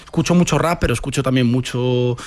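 Un hombre de Madrid, rapero invitado, que habla relajado y coloquial, con muletillas. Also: male voice, medium pitch, a little fast. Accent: Madrid Spanish. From Spain. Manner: relaxed, slangy, many fillers like "¿no?" and "tío". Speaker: rapper guest. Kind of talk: casual interview answers.

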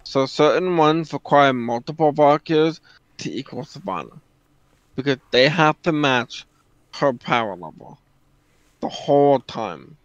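A man's voice: fairly high pitch, a little slow, no room sound. A man explaining something in casual chat, recorded on a slightly muffled microphone.

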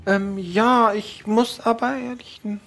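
A man's voice: high voice, steady tone, slow pace, gravelly, fairly bright tone, exaggerated voice. A man voicing a timid, discreet young female housemaid in a tabletop game, hesitant and deferential. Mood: nervous, helpful. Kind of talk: improvised tabletop role-play acting.

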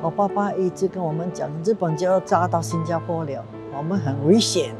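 A woman's voice: fairly low pitch, brisk. Woman in her eighties recounting hardship. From Singapore. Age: 80s. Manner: emotional, earnest storytelling. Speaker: war survivor interviewee. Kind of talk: documentary interview. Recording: clear music bed underneath.

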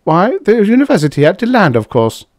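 A man's voice: high voice, very animated, a little fast, no room sound, studio quality, voice pushed up. A man voicing a prim, defensive female office clerk, speaking in a raised, fussy tone. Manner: prissy, defensive.

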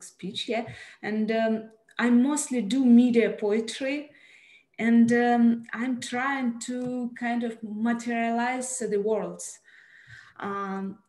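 A young woman with a Russian accent talks slowly and haltingly on a video call, often pausing on fillers as she hunts for words. Her voice sits a little high, and the call carries a roomy echo. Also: dark tone. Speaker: panelist poet. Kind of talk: panel discussion on a video call. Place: home room, indoors. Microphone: video call, headset.

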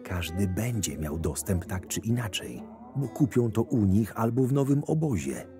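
A man playing a shrewd, sardonic right-hand man to a camp boss, narrating a fantasy audio drama in a measured way. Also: on the low side, big pitch swings. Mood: hushed, persuasive. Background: music.

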